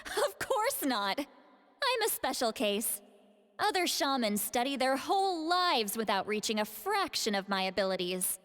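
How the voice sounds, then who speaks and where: A woman's voice: sits high, very animated, a little slow, fairly bright tone, big-room sound, full character voice. An actress playing a proud, powerful elderly shaman granny in a video game, haughty and world-weary. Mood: smug, amused. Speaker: game character. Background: music.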